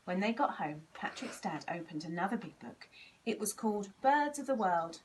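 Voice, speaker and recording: A woman reading a children's storybook aloud in an expressive, animated way, acting out the dialogue. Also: mid-range voice, normal speed, recorded from a distance, a little hiss or hum, poor audio. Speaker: volunteer story reader.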